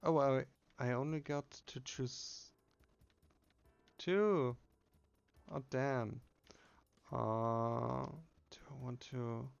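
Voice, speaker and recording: Male gamer reading text aloud casually, unhurried creaky delivery, slightly muffled home recording. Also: medium pitch, fairly even intonation, dry recording. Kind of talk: casual game playthrough with read-aloud dialogue.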